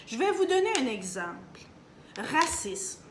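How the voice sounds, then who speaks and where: Woman, Canadian French accent, fairly high voice, emphatic opinion monologue with many hesitations, echoey room. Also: big pitch swings, a little slow, a bit bright. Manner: emphatic, hesitant with many fillers, addresses viewers directly. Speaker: video commentator. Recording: echoey room, faint background hum.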